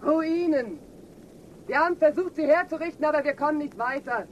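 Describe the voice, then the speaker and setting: A woman's voice: high voice, moderate pace, highs cut off, a little hiss or hum, natural voice. An actress playing a weary, dreaming surface-dweller woman, wistful and pleading, in a German science fiction radio drama. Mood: wistful despair.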